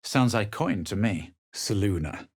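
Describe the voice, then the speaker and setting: Husky, low-voiced, smug fantasy adventurer. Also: male voice, expressive, dry recording, studio quality, full character voice. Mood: measured, self-assured. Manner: superior, measured, dramatic. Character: smug, self-assured adventurer hero of a fantasy game. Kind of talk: video game voice lines, narration and spell calls.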